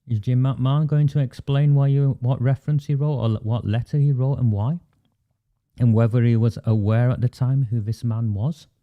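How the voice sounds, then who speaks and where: A man's voice: mid-range voice, steady tone, moderate pace, phone-quality sound. A man hosting a live online show, asking his guest blunt, rhetorical questions over a video call. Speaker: host.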